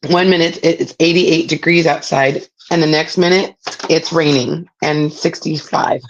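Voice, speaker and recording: A middle-aged woman, raspy from a spring cold, chatting casually as she hosts a live craft demo and greets viewers by name. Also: sits low, steady tone, normal speed, dull audio. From Southern California. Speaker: craft livestream host. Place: home craft room.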